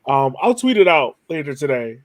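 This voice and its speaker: A man's voice: fairly high pitch, very animated, normal speed. A man hosting a podcast in a casual conversational style, musing informally and building rhetorical questions. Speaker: podcast host.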